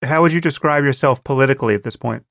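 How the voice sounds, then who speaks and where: Calm male podcast host, dry sound. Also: medium pitch, fast talker, creaky, dry recording. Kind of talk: interview questions.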